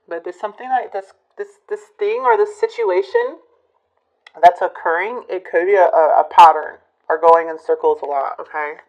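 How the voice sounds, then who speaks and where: A woman giving a casual tarot reading to her viewers, musing and hesitant, her voice a bit hoarse from a recent illness. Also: lowish voice, moderate pace, darkish tone, slight background noise, rough recording. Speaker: tarot reader.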